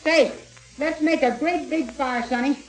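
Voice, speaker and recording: A man's voice: very high voice, a little slow, poor audio. An actor playing an earnest young boy adventurer in an old radio serial, full of folksy exclamations. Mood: earnest, eager. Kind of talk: 1930s radio serial acting.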